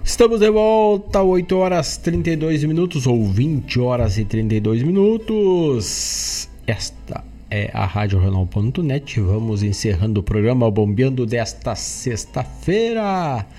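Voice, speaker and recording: A man's voice: medium pitch, animated, a little slow, dark tone, faint music behind. A man with a southern Brazilian gaúcho accent announcing as a radio host. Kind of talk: radio announcing, reading a playlist.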